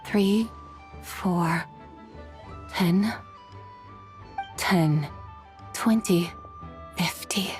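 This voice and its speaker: A woman voicing a calm, dignified, literal-minded scholarly woman in a video game, speaking in a formal, measured, matter-of-fact way. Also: fairly low pitch, soft background music. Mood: calculating.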